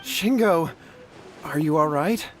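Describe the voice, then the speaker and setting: An actor voicing a concerned, apologetic brother in a video game, earnest and deferential. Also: male voice, on the high side, expressive, slow pace, faint music behind. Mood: worried. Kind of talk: video game character acting.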